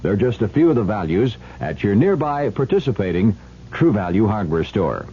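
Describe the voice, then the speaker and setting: A man's voice: lowish voice, very animated, normal speed. A man reading a scripted 1970s radio advertisement in a folksy, direct sales style. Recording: muffled old radio with faint noise.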